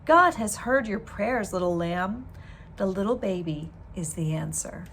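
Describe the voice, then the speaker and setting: Woman reading as a soft-spoken young mother. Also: mid-range voice, animated, measured pace, slight background noise. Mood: tender. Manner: soft, tender.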